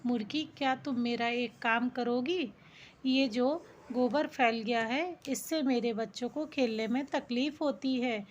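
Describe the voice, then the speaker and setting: A woman voicing a talking mother cow in a children's folk tale, with a gentle, requesting manner. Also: a little high, a little slow, dull audio, slight background noise, natural voice. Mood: pleading.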